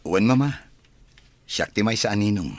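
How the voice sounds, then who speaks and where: Casting: actor for mild-mannered shy rich son, Filipino accent, deep, lively intonation, hesitant drama delivery. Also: male voice, no room sound, lightly acted. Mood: resigned. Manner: hesitant, halting. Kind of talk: amateur audio drama acting.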